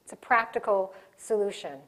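A woman's voice: mid-range voice, moderate pace, low-quality recording. A middle-aged woman giving a live workshop in a conference room, talking with her hands and often restarting her sentences. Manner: animated, gestures a lot, restarts sentences. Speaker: diversity workshop presenter. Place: conference room in front of a projection screen.

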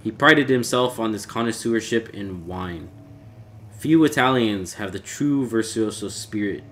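A man giving a measured, formal, brooding dramatic reading of a classic horror story as its narrator. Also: on the low side. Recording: clear background noise, ambience.

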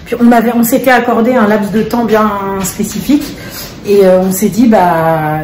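Mellow-voiced woman vlogging at home. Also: mid-range voice, moderate pace, a little hiss or hum. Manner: rambling, many fillers, relaxed. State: slightly hoarse. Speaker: vlogger, single mother of five. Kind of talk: casual daily vlog chat. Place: home, living room and kitchen, indoors. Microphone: phone held at arm's length.

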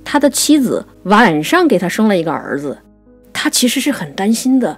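A woman co-hosting a conversational talk on classical poetry, explaining things and reciting verse slowly. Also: mid-range voice, sing-song, slightly rough, faint music behind. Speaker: writer and co-host.

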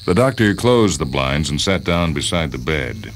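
A man's voice: very deep voice, big pitch swings, normal speed, slight background noise. A man reading a short story aloud as a scripted, dramatic narration. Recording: music bed under the voice. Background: music.

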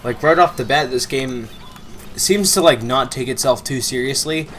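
A man's voice: mid-range voice, moderate pace, fairly bright tone, a little hiss or hum. A slightly nasal young man chatting casually as a co-host beside someone playing a game, with lots of fillers and restarts. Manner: talkative, repeats words, filler-heavy. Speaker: let's play co-host. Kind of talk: casual gameplay chat.

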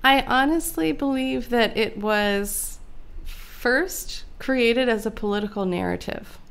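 An American woman speaking as an academic guest in an interview, explaining in a measured, conversational way and often checking with "right?". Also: on the high side, vocal fry, a bit bright, smooth. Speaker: academic guest, lecturer on immigration history. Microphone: podcast microphone on a stand.

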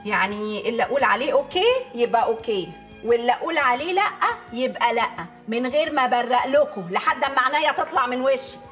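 A woman's voice: high voice, animated, quick pace, a bit bright, faint music behind. A woman playing an exasperated, scheming Egyptian mother and wife in a TV comedy-drama, with a dramatic, complaining manner. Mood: lecturing. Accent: Egyptian Arabic. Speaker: actress. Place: furnished home interior, film set.

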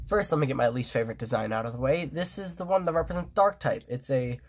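A man's voice: mid-range voice, fast talker. A man whose nose is blocked up, so every word comes out nasal and thick. He talks loosely and casually, restarting sentences and padding with fillers as he shows off his drawings. He sounds like a phone propped over a tabletop indoors. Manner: rambling, many restarts and fillers. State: stuffed nose, sniffling. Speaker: fan artist presenting his drawings. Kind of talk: casual show-and-tell commentary.

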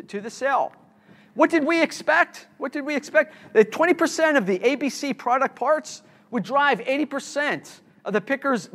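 Keynote speaker, middle-aged man, high-pitched, halting, many restarts, presenting to a room. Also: a bit bright. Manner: halting, repeats words and restarts often. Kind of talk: conference talk with slides. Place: conference room.

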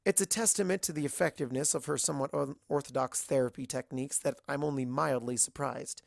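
A man's voice: mid-range voice, quick pace, gravelly, no room sound, clean studio sound. A man reading scripted first-person story narration as an audiobook narrator.

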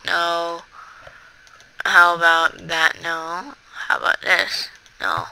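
A rambling Let's Play commentator who chats to viewers while playing. The voice is very bright and sharp, with a gravelly edge. The delivery is slow and unhurried, broken by excitable outbursts. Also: mid-range voice, rough recording. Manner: rambling, chatty, talks to viewers. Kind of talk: casual gameplay commentary.

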